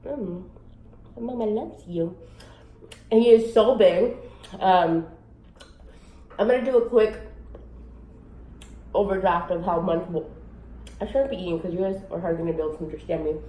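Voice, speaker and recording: A young woman vlogging casually to camera at home, a little sick, rambling with many fillers in a raspy voice. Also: normal speed, pauses often, dark tone. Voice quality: raspy, low voice. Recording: echoey room, faint noise.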